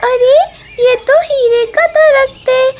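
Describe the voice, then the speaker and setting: A woman's voice: very high-pitched, fairly even intonation, takes it slowly, noisy background, pitched above the performer's own voice. A woman voicing a poor, innocent, tearful little girl bird in a cartoon, with a plaintive, pleading manner. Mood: amazed.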